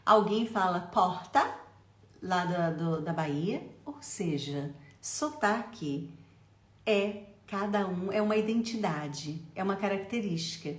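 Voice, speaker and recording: A Brazilian woman with a Brazilian accent, teaching English pronunciation in a lively way and slowing down to drill phrases for the listener to repeat. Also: fairly low pitch, roomy sound. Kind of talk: pronunciation lesson.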